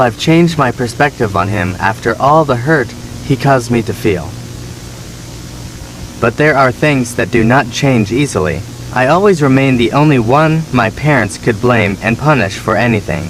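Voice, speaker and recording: A man playing a neglected, resentful younger son, telling his story as measured, plaintive scripted horror narration. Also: mid-range voice, a little hiss or hum, lightly acted, rough recording. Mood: bitter, sad.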